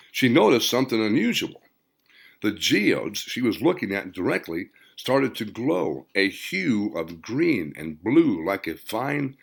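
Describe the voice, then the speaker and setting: Creaky, low-voiced man narrating fiction. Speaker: author reading own audiobook.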